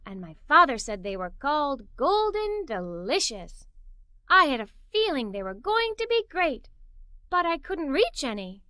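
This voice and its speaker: A woman narrating a children's story aloud in an expressive, playful way. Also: high-pitched, no room sound, clean studio sound.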